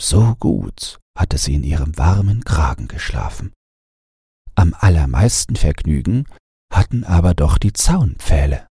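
A man with a low, very husky voice reads a children's bedtime tale. His delivery is expressive and unhurried, as a storyteller's. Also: no room sound, clean studio sound. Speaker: audiobook narrator. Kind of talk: scripted bedtime fairy-tale narration.

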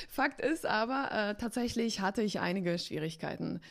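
Candid woman with a gravelly voice. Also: mid-range voice, expressive, takes it slowly. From Poland and eastern Germany. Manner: chatty, candid, laughs often, many fillers. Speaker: podcast guest, agency co-founder. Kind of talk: casual podcast conversation.

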